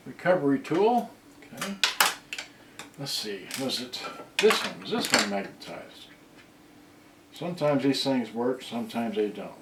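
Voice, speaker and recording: A man talking to himself in halting, casual mutters as he gives a hands-on repair commentary. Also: medium pitch. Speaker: repair video host. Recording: distant roomy mic, loud noise, clicks and clatter.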